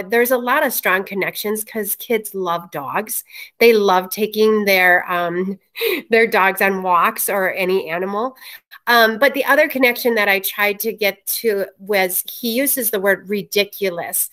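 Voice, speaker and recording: Mid-pitched woman presenting informally. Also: a bit bright. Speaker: school library media teacher. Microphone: webcam or laptop mic.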